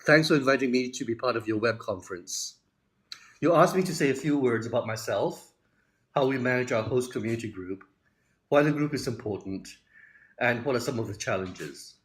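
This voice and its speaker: Older man giving a measured explanation. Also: mid-range voice. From Hong Kong and Australia. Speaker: community organizer. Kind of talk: prepared talk to camera. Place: room at home. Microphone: phone or webcam at arm's length.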